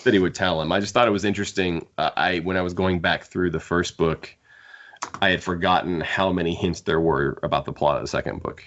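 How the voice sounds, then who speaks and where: A man with a very deep voice chats as a podcast host. He speaks in a halting, stammering way, looping back over his phrases. The recording is a little dull and lo-fi. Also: fairly even intonation, fairly bright tone, a little hiss or hum. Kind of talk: casual podcast discussion. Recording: dull, lo-fi sound.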